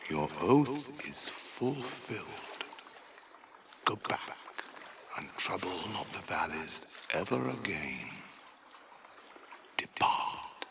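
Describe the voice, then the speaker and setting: A man's voice: low-pitched, sing-song, drawn-out words, long pauses, exaggerated voice. A male actor playing a solemn, commanding king who addresses spirits of the dead in a declamatory 1980s radio drama style. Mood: solemn release. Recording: clear background noise, radio drama ambience.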